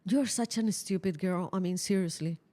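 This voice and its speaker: A woman's voice: fairly low pitch, normal speed, warm tone. Blunt middle-aged woman chatting. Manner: blunt, opinionated. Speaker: podcast co-host. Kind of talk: casual conversational storytelling.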